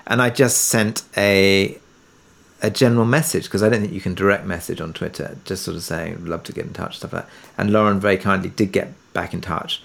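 A man speaking conversationally as an interviewed producer, halting and self-effacing, often rephrasing as he goes. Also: low-pitched, fairly even intonation, gravelly, fairly bright tone. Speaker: audio drama writer and producer being interviewed.

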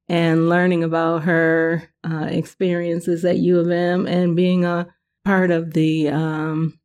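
A woman's voice: low voice, mellow. A woman co-hosting a podcast, introducing and welcoming a guest in a friendly way. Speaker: podcast co-host. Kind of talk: podcast interview.

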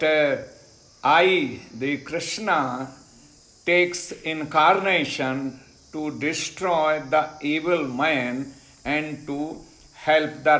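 Slow Indian man, echoey room. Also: on the high side, a bit bright. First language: Hindi. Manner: slow and deliberate, many "uh" pauses, repeats phrases. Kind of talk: unhurried personal testimony. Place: ashram in India.

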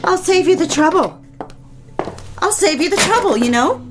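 A woman's voice: extremely high pitch, animated, moderate pace, music in the background. An actress playing a proud, bitter young married woman who is drunk, haughty and sarcastic, in a period audio drama. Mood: agitated, taunting. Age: young adult.

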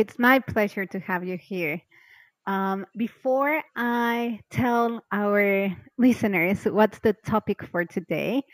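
A woman's voice: mid-range voice, dry recording, rough recording. Bubbly chatty woman podcast host. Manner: bubbly, chatty, laughs often. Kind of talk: casual podcast interview.

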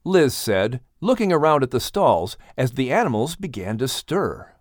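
A man reading a children's audiobook as its narrator, in an animated storytelling style. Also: mid-range voice, moderate pace, no echo, studio quality.

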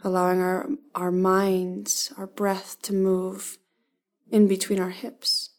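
A woman leading a guided body-scan meditation, giving calm, gentle instructions in short, spaced phrases. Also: medium pitch, slow pace.

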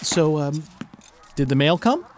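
A man acts out an anxious, fussy husband with a swooping, expressive voice. He stammers and whines defensively in audio-drama style, over clear background noise. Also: a little high, a little slow. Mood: hesitant, evasive. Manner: flustered, whiny, stammering.

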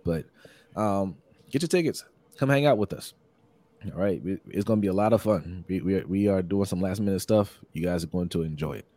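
A hoarse man hosting a casual live-streamed sports podcast, upbeat and repetitive, reading out viewer comments and giving shout-outs. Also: sits low, brisk, no room sound. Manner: upbeat, repeats phrases, many shout-outs. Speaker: podcast host.

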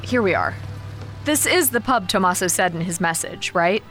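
A woman's voice: lowish voice, lively intonation, normal speed, a bit bright, faint music behind. A woman playing a level-headed, observant companion on a shady errand in a modern audio drama. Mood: easygoing.

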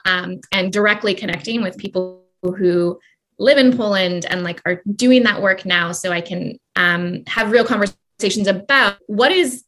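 A woman's voice: mid-range voice, fairly bright tone, a little hiss or hum. Brief: woman guest, rambling and reflective, lots of "like" and "um". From Canada. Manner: rambling, reflective, many fillers. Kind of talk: conversational interview.

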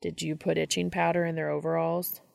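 A woman's voice: deep voice, flat delivery, a little fast, gravelly, dry recording. A woman voicing a wary, wry man with a dry, sardonic manner in an audiobook. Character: wary, wry male guest with dry wit.

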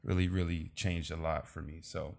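A man's voice: sits low, level tone, normal speed, gravelly, darkish tone. A man hosting a podcast over a remote call, laid-back and chatty, laughing often and saying "man" a lot. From Los Angeles. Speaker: podcast host. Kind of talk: casual video-call interview.

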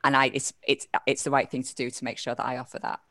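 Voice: medium pitch, level tone, fast talker, fairly bright tone, no room sound. A podcast host in a casual interview, speaking thoughtfully, hesitating and correcting themselves often. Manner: thoughtful, hesitant, many fillers and self-corrections.

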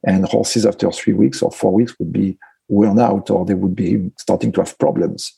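Low gravelly man, English. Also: fairly even intonation, normal speed, dark tone, dry recording. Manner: thoughtful, non-native phrasing with frequent fillers. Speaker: equine veterinarian guest. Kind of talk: conversational interview answers.

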